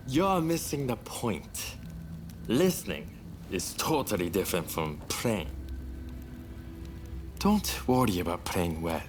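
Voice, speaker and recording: Breezy roguish young samurai, lively swings. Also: male voice, on the low side, slow pace, pauses often, over music. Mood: relaxed, playful. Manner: breezy, playful, teasing. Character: easygoing roguish young samurai gambler, cheeky and carefree. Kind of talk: video game cutscene acting.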